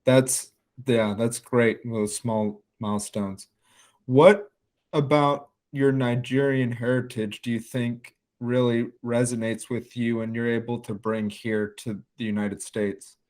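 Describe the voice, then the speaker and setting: A man's voice: mid-range voice, fairly even intonation, slow pace, a little mellow. A man hosting a podcast, introducing and questioning his guest in an interview style. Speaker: podcast host.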